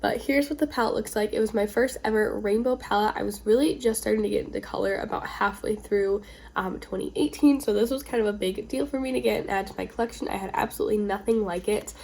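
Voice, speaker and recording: A woman's voice: medium pitch, fast, gravelly, a little mellow, a little hiss or hum. A woman getting over a cold, chatting informally with rambling asides as the host of a casual beauty vlog. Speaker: beauty video creator, host.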